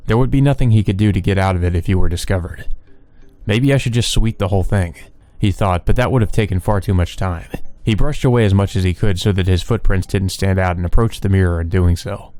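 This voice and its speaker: A man's voice: deep voice, fast talker, hardly any pauses, dark tone. A man reading a visual novel aloud as the host of a let's play. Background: background music.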